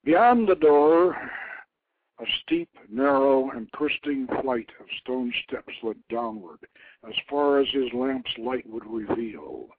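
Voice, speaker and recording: A man reading a story aloud as a narrator, in a theatrical, solemn manner that moves between hushed tones and swelling emphasis. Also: mid-range voice, lively intonation, slow pace. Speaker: host reading his own novelette. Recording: phone-like internet radio sound.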